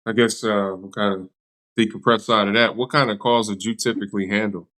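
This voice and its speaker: A man with an American accent hosts an interview in a casual, chatty way. His voice sits a little low, and he asks loose, rambling questions with informal interjections. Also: steady tone, fairly quick, fairly bright tone. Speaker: podcast host.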